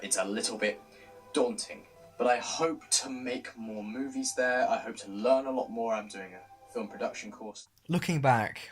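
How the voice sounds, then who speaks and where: A man's voice: lowish voice, pushed and bright. A British man in his early twenties talking casually and reflectively in a personal vlog, with a self-deprecating, rambling manner. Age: early 20s. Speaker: vlogger.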